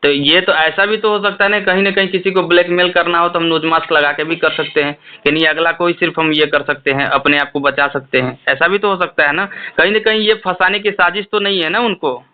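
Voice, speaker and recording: High-voiced man on a tinny phone line. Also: steady tone, a little fast, hardly any pauses. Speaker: interviewer. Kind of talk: phone interview questioning. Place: phone call. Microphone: telephone. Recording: tinny phone call recording.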